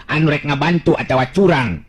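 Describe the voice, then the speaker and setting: A man's voice: fairly high pitch, a little fast, husky, voice pushed up. A man voicing a confident, joking young folk hero who talks in a colloquial, teasing way. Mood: instructing.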